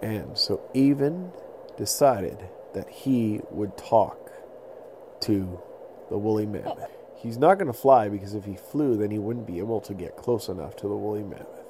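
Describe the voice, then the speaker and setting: A man telling an improvised bedtime story to children in simple, explanatory phrases with pauses. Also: lowish voice, animated, dark tone, a little hiss or hum, low-quality recording. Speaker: podcast storyteller.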